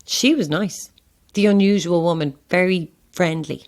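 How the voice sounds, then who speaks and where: A woman's voice: lowish voice, measured pace. A woman with an Irish accent playing a curious, easygoing tourist in a naturalistic audio horror drama, conversational and thoughtful. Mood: musing. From Ireland.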